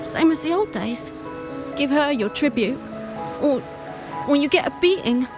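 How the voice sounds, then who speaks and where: A woman's voice: high-pitched, expressive, a little slow. An actress voicing a timid, desperate young vagrant girl in a video game, with a hesitant, earnest manner. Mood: bitter, hurt. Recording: studio voice under game music.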